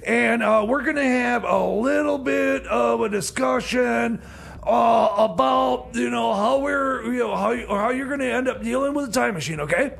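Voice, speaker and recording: A man playing a flustered radio DJ in comedy radio theater, stammering and rambling in a raspy, creaky, high voice. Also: normal speed, fairly bright tone, raised voice, heavily acted. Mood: exasperated. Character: Jeb, flustered male radio DJ. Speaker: radio host.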